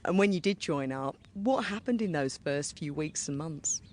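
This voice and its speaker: A male interviewer asking clear, measured questions. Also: a little high, expressive, a little mellow.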